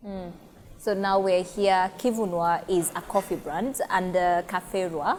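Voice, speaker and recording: A woman interviewing an entrepreneur, asking gentle questions and prompting with short mm's. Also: on the low side, fairly even intonation, unhurried.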